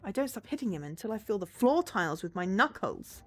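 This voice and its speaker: A woman's voice: mid-range voice, big pitch swings, a little fast, a bit bright. A woman streamer reading a visual novel aloud as dramatic narration. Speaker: game streamer. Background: game music.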